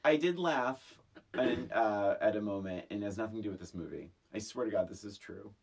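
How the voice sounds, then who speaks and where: A woman's voice: rumbling low, brisk. A woman co-hosting a podcast, telling stories about a movie in a blunt, emphatic, casual comedic way. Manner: blunt, emphatic, expressive storytelling. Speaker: podcast co-host.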